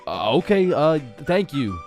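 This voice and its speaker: A man's voice: a little high, big pitch swings, unhurried, darkish tone, faint music behind. A man voicing a timid, confused schoolboy who stammers hesitantly in a cartoon story. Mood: nervous.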